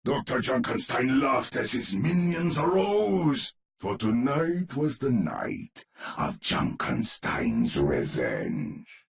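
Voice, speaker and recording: An actor playing a grave storyteller, narrating a gothic horror tale in a theatrical, measured, ominous manner for a game. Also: male voice, medium pitch, wildly swooping pitch, creaky, full character voice. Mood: ominous, dramatic. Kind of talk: dramatic scripted storybook narration.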